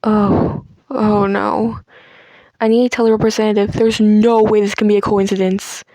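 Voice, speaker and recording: Man putting on a nervous high-voiced female aide. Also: flat delivery, moderate pace, warm tone, dry recording. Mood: worried. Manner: nervous, worried muttering to herself.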